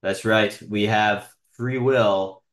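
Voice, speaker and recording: A man in casual podcast conversation, speaking reflectively and haltingly with frequent pauses, in roomy, echoing sound. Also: on the low side, fairly even intonation, fairly bright tone.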